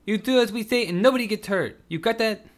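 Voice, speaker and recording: A man voicing a frantic, bossy female kidnapper who shouts and snaps at her partners. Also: sits high, fast talker, voice pushed up, natural voice. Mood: menacing. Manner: shouting, snapping.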